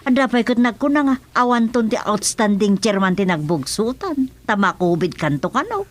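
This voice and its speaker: A woman's voice: fairly high pitch, big pitch swings, normal speed. An actress playing a chatty wife who admires and advises her husband in an Ilocano radio drama-comedy, with an Ilocano accent. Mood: admiring.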